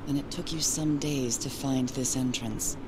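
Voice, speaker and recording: A woman's voice: rumbling low, fairly even intonation, a little slow. An actress playing a sensible, concerned 1930s wife exploring in search of her husband, in an adventure game monologue. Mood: pensive. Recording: clear background noise.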